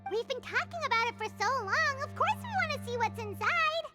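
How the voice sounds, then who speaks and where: A tiny excitable companion creature from a video game, talking eagerly and indignantly. The voice sits extremely high and swoops wildly. Loud game music plays behind her. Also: a little fast. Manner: eager, indignant, speaks of herself by name. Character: tiny floating female companion, excitable, childlike.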